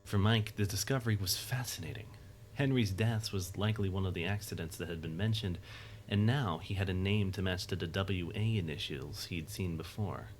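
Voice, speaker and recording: A man with a low voice narrates a dramatic story. He reads scripted prose as the storyteller of an audio drama. Faint noise and ambience sit behind him. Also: normal speed.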